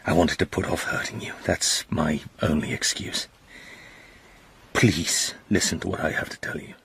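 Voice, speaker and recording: An actor playing a serious, controlled secret agent with a British accent in a radio drama. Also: male voice, very deep voice, fairly even intonation, normal speed, gravelly, a bit bright, husky, full character voice. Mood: grave, earnest.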